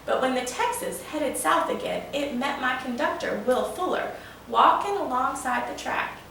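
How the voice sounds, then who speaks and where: A woman's voice: on the high side, gravelly, a bit bright. A woman voicing a proud old male steam locomotive spinning a folksy, boastful yarn for a children's storybook. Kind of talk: children's storybook read-aloud. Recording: roomy, echoing, distant mic with faint noise.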